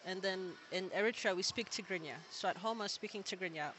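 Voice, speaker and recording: A young man from Kenya chatting as an interview guest, relaxed and talkative, with plenty of "like" fillers. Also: high-pitched, quick pace, a little hiss or hum, low-quality recording. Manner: relaxed, chatty, many "like" fillers, laughs easily. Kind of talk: casual interview conversation.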